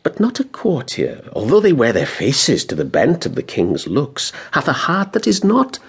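A man's voice: mid-range voice, huge pitch swings, moderate pace, vocal fry, husky, heavily acted. An actor playing a well-informed, gossiping courtier in a classical Shakespearean radio drama, telling his news with emphatic, rhetorical phrasing. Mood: confiding, wry.

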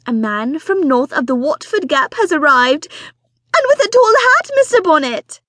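A woman voicing an excitable, fussy middle-aged mother who gushes and grows impatient with her husband. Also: moderate pace, no echo, clean studio sound, exaggerated voice. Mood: excited, gushing. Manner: shrill, gushing, impatient.

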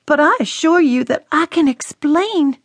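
A woman's voice: high-pitched, a little slow, no room sound, voice pushed up. A woman voicing a tearful young daughter pleading with her father, her voice tremulous.

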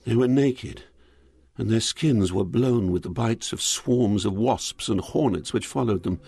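An actor playing a poet who recounts his journey through Hell in sombre, measured literary narration, atmospheric noise behind him. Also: on the low side, vocal fry, mellow, slightly rough, dry recording, full character voice. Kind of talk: dramatised audiobook, literary first-person narration.

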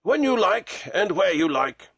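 A man voicing a devoted, excitable gentleman companion in a storybook, speaking emphatically and with exclamation. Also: high voice, measured pace, husky, no room sound, exaggerated voice. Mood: eager.

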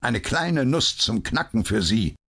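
A man's voice: medium pitch, very animated, a little slow, gravelly, a bit bright, husky, pitched above the performer's own voice, heavily acted. A man reading an audiobook, voicing an indignant, bitter police inspector who barks his words. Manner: blustering and bitter. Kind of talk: audiobook character voice.

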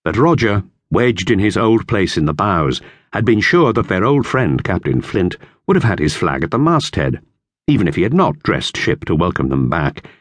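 A man narrates a classic children's book in a low voice with lively, expressive intonation. His storytelling is measured, and the recording is close and dry. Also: dry recording. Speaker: audiobook narrator.

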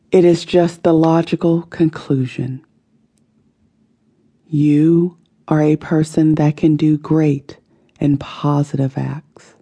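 A woman narrating a guided meditation in a soothing, deliberate manner, letting her phrases end softly. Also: low voice, breathy edge. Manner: slow, soothing, deliberate delivery with soft phrase endings. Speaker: audiobook narrator.

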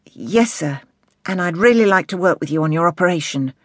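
A man's voice: high-pitched, expressive, a little fast, dry recording, clean studio sound. A man acting a polite, keen young policewoman. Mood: eager. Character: polite, eager young female probationer police officer. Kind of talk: audiobook character dialogue.

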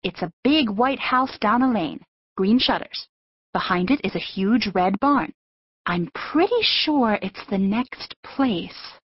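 A woman playing a worried, gently firm mother of two in a full-cast children's audiobook, earnest and coaxing. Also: medium pitch, expressive, slow, breathy edge, no echo. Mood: hopeful, unsure. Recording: dull, narrow lo-fi audio.

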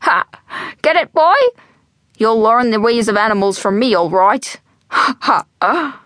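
A woman voicing a mischievous, wheezy old male storyteller in an audiobook, slow and conspiratorial, slipping into whispers. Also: fairly high pitch, big pitch swings, no room sound, studio quality, voice pushed up. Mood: gleeful. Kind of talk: audiobook character voice.

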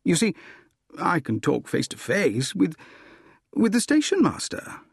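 A man's voice: high voice, wildly swooping pitch, unhurried, creaky, husky, dry recording, studio quality, pitched above the performer's own voice, full character voice. A man playing an impulsive young suitor in a storybook romance, pleading earnestly and eagerly for love. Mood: fumbling.

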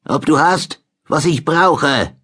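Man putting on a croaking, slow old crone. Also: a little high, fairly bright tone, pitched above the performer's own voice, exaggerated voice. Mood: creepy, probing. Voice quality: unpleasant croaking voice. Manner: slow, muttering, repeats her words. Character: sinister ragged old witch-like market woman.

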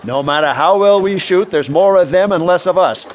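An actor playing a wry, reluctant Old West doctor with an American accent in a radio western. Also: male voice, high-pitched, muffled recording, a little hiss or hum, lightly acted. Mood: argumentative. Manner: sardonic, drawn-out protests. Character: wry, reluctant frontier town doctor and sharpshooter.

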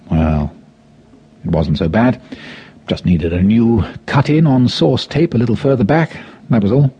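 A man narrating a first-person memoir as a scripted audiobook, with a husky voice and dramatic, deliberate storytelling phrasing. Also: low-pitched, dark tone. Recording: dull lo-fi audio.

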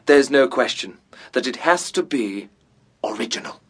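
A man's voice: medium pitch, animated, normal speed, a bit bright. An actor playing a pompous, self-important amateur theatre director in a comic radio drama, grandly enunciating his words.

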